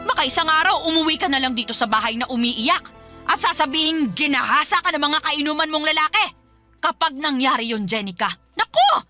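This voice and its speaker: A woman's voice: sits high, lively intonation, brisk, faint music behind. Piercing, scolding Filipino mother. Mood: scolding, indignant. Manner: sharp, nagging, accusatory. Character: scolding, strict, conservative mother of grown children. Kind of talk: Filipino radio drama acting.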